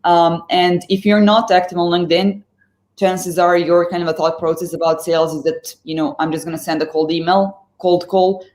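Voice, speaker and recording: Serbian-accented woman with a low voice. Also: little pitch movement, normal speed, a little mellow. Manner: fluent, many fillers and restarts. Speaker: guest. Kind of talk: live stream interview. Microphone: video call.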